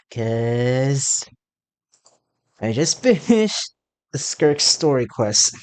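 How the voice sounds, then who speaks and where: Slow-paced gaming creator, halting and rambling. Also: medium pitch, mellow. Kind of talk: casual let's play commentary.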